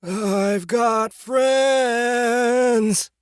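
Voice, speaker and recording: A cute little talking mushroom from a fantasy audiobook. The voice sits far above natural speech, coaxing and pleading in a drawn-out, wheedling way. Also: male voice, very high voice, clear, resonant tone, no echo, clean studio sound, voice pushed up, heavily acted.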